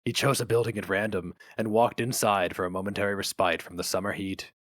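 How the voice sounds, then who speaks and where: A man's voice: on the low side, steady tone, fairly quick, a bit bright, no echo, clean studio sound. A man reading a story aloud as its narrator in a dramatic, scripted style.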